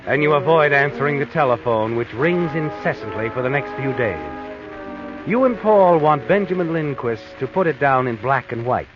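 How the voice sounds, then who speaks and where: Sinister all-knowing radio narrator, played by a man, animated insinuating delivery, music behind, muffled vintage sound. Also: medium pitch, lightly acted. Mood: sly, ominous. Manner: insinuating second-person taunting, dramatic pauses. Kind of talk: 1940s radio mystery narration.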